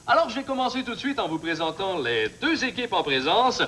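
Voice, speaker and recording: A man's voice: high voice, big pitch swings, brisk, bright, forceful tone, a little hiss or hum, exaggerated voice. A man playing a cheerful, polite game show host, with a Quebec French accent and a polished, ceremonious delivery. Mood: cheerful, formal.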